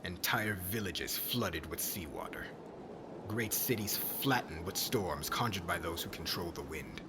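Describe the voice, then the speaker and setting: Casting: male actor as stern witch hunter for ASMR roleplay, low-pitched, cold, breathy, bitter, over clearly audible background noise. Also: animated, unhurried. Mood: bitter, accusing. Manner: cold, commanding, brooding.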